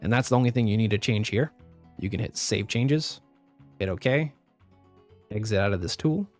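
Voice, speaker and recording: A man with a slightly raspy voice, recovering from the flu, giving a step-by-step software tutorial as a voice-over. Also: on the low side, normal speed, faint music behind. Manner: step-by-step instructive, plain and practical. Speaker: tutorial host. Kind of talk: screen-recorded software tutorial.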